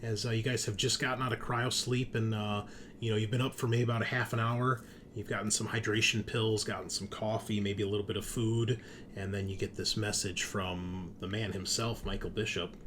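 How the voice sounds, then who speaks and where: A man's voice: fairly low pitch, flat delivery, a little fast, fairly bright tone, slight background noise. A man narrating a tabletop roleplaying game as game master, explaining things conversationally and addressing his players directly.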